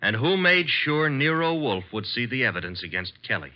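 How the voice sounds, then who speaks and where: An actor playing a brisk, wisecracking detective's assistant with an American accent in an old radio drama. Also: male voice, mid-range voice, sing-song, close to an everyday voice. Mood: sharp, businesslike. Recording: dull vintage radio broadcast recording.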